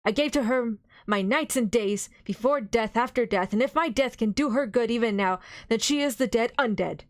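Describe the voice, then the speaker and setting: A woman's voice: on the high side, expressive, fairly quick, no room sound, clean studio sound, voice pushed up, natural voice. A woman voicing a grave elderly foreign professor in a read-aloud novel, speaking formally, sternly and earnestly.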